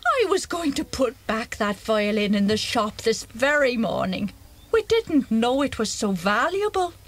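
A woman's voice: a little high, sing-song, measured pace. A woman playing an anxious, tender-hearted mother, pleading and emotional, in an audio drama. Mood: apologetic.

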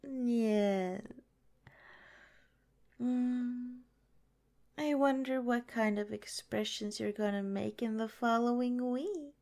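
A possessive young yandere nurse girlfriend, played by a woman for ASMR roleplay. She speaks extremely slowly in a soft, mellow, fairly high voice, coy and breathy. She teases sweetly with menace underneath, often dropping into a whisper. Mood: mocking, possessive. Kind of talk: ASMR roleplay, soft intimate drawn-out delivery.

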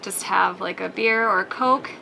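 A woman's voice: medium pitch, moderate pace, vocal fry, rough recording. A woman in her thirties chatting casually and informally to camera, like a travel vlogger. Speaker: vlogger, host. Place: outdoors on boats and waterside decks. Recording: outdoor recording with loud water and boat noise.

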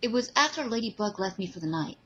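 A husky, bright teenage boy superhero, played by a woman in a fan audio drama. He is charming and jokey, full of playful bravado that sometimes turns halting. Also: on the high side, big pitch swings, lo-fi sound, voice pushed up, heavily acted.

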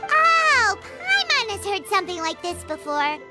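A woman's voice: very high-pitched, sing-song, measured pace, over loud music, heavily acted. A woman voicing a tiny floating fairy companion who is chatty and blunt and speaks of herself in the third person. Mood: teasing. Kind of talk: video game voice acting.

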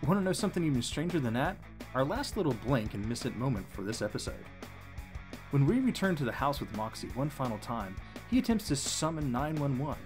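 A man co-hosting a scripted explanatory commentary on a cartoon. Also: fairly high pitch. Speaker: co-host.

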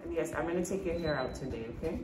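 Woman, thirties, low voice, casual family vlog, playful sing-song chat, echoey kitchen. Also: animated, racing. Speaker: vlogger mother. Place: home kitchen, indoors. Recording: echoey kitchen with loud background noise.

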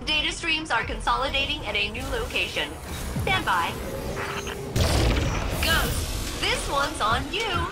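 A woman's voice: high voice, big pitch swings, takes it slowly, long pauses, full character voice. A woman voicing an unhinged ship AI in a video game, swinging between perky formality and dry sarcasm. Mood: chirpy, formal. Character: unhinged female ship AI, chirpy turning sullen and sarcastic. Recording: loud game music and effects behind.

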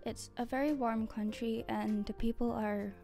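A teenage schoolgirl answering interview questions calmly in a school library. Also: on the high side, normal speed, soft background music. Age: teens. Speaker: student.

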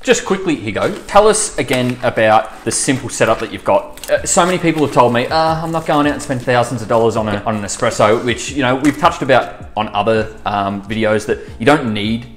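A man's voice: medium pitch, lively intonation, slight background noise. A man co-hosting a casual coffee show who teases his friend. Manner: fast-talking, teasing. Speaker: co-host. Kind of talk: casual banter.

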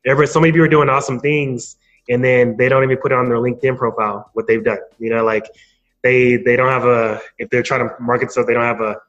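Male podcast guest, informal and halting, mid-range voice, slightly muffled lo-fi sound. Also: medium pitch, flat delivery, fairly bright tone. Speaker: podcast guest, marketing speaker. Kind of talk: casual interview conversation.

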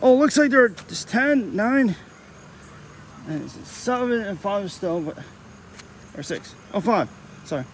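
A young man with a high-pitched voice rambles through a car wash tour, circling back to the same phrases and restarting mid-sentence. He speaks into a handheld phone outdoors, with faint traffic and street hum behind him. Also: lively intonation, a little slow, pauses often. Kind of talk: casual walkthrough vlog. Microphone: handheld phone camera.

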